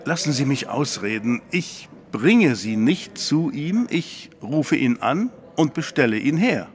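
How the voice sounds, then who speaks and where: A man's voice: medium pitch, big pitch swings, unhurried. An actor playing a sceptical, flippant man in an audio drama, with a blunt, dry way of talking. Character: sceptical, flippant man hearing out a troubled woman.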